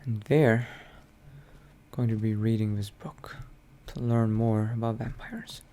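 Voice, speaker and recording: A man's voice: fairly low pitch, fairly even intonation, slow, dark tone. A man roleplaying in character in a video game, hesitantly muttering to himself as he narrates what he does.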